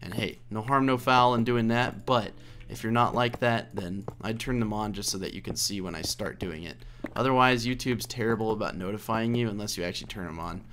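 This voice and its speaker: A slightly hoarse man giving a casual, rambling let's play commentary, thinking aloud and correcting himself as he goes. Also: on the low side, fairly quick, fairly bright tone, a little hiss or hum. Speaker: video game let's play host.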